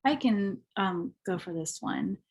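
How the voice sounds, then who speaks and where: A young adult panelist with a feminine-sounding voice of medium pitch, who grew up with Spanish as a first language. They talk personally and reflectively, pausing to search for the right words. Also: animated. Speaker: panelist, university graduate.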